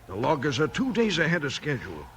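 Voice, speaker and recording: An actor playing a rough, grumbling crooked logger henchman in a 1950s radio western, gravelly and folksy in his rustic slang. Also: fairly high pitch, wildly swooping pitch, quick pace. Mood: grumbling, scheming. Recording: noisy old 1950s radio recording.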